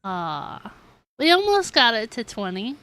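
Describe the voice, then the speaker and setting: A woman's voice: on the high side, very animated, unhurried, fairly bright tone. A woman game streamer chatting casually with her viewers, thinking aloud in a giggly, chatty way as she plays. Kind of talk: casual livestream chat while playing a game. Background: background music.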